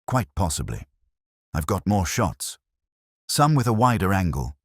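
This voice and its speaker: A man's voice: low-pitched, very animated, measured pace, breathy edge, dry recording, studio quality, pitched above the performer's own voice, exaggerated voice. A man voicing a cheerful, eager village amateur photographer with a helpful manner in a mystery story.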